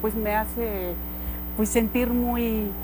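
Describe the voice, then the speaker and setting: A woman's voice: fairly high pitch, little pitch movement, extremely slow, over music. A woman from Oaxaca whose first language is Mixtec, speaking earnestly as a guest on a radio interview. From Oaxaca, Mexico. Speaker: guest singer.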